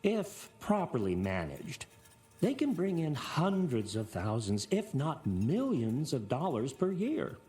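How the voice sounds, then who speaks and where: An actor playing a self-assured appraiser in a video game, giving a measured, businesslike report in formal language. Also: male voice, mid-range voice, wildly swooping pitch, dark tone. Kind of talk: dramatic video game acting.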